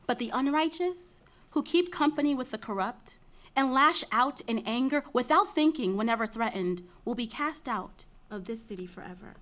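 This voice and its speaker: A woman's voice: fairly high pitch, expressive, moderate pace, fairly bright tone. A woman playing a solemn chorus citizen in a dramatic reading of Greek tragedy, with earnest, declamatory recitation. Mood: solemn, proud.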